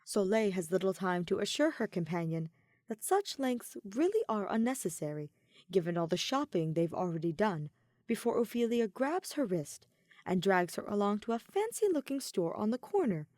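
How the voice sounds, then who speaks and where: Woman narrator reading a story, expressive n lively pitch, close dry sound. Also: normal speed, dry recording. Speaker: podfic narrator.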